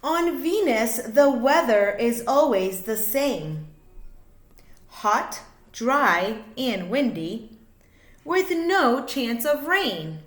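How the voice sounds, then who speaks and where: A woman reading a children's picture book aloud like a teacher, in an expressive, sing-song way with drawn-out words. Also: on the high side. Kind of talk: read-aloud children's storytelling. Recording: echoey room.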